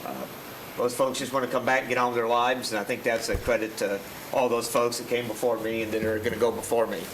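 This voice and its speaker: A man in his fifties with a big, loud voice, a veteran giving casual, grateful thank-you remarks at a county meeting. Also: mid-range voice, level tone, fast, slight background noise. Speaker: veteran receiving a proclamation. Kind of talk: informal thank-you speech. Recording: roomy chamber with crowd around.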